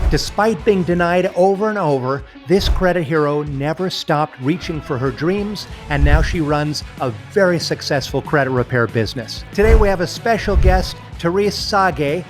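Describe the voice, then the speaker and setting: A man hosting a podcast, upbeat and promotional, eagerly introducing and questioning his guest. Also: a little high. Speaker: podcast host.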